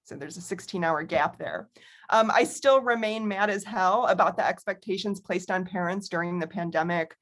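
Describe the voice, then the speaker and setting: A middle-aged woman with an American accent presents as an author over a video call. Her pitch is average for a woman. She follows prepared remarks but sounds personable and candid, with conversational asides. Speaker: author and academic speaker.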